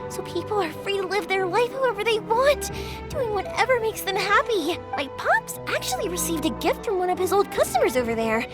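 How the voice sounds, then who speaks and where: A bubbly fireworks-maker, high and bouncy, game music under it. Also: female voice, very animated, a little fast, hardly any pauses. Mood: friendly. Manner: bubbly, talkative, laughs often. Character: cheerful young fireworks-maker girl, warm and energetic. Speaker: game character. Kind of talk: video game voice acting. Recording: game audio with music behind.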